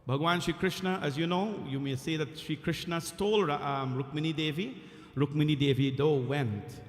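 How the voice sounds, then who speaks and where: A man from Guyana, a temple priest, giving an earnest, emphatic devotional sermon with frequent pauses. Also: on the high side. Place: temple hall. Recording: echoey temple hall.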